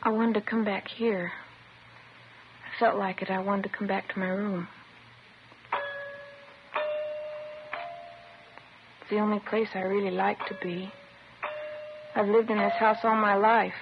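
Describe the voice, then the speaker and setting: A woman's voice: mid-range voice, little pitch movement, normal speed, lightly acted. A woman playing a disturbed teenage girl in a 1950s radio drama, plaintive and childlike, matter-of-fact and wistful. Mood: wistful, resigned. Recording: dull, noisy old radio recording.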